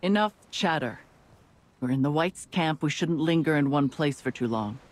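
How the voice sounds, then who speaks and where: A man's voice: on the high side, expressive, moderate pace, lightly acted. An actor playing a guilt-ridden but resolute young male adventurer in a video game. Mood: stern. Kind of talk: video game dialogue acting.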